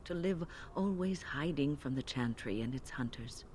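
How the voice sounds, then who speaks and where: A woman voicing an older female mage companion in a video game, who speaks in a measured, gently probing way. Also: a bass voice, expressive, a little hiss or hum. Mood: earnest.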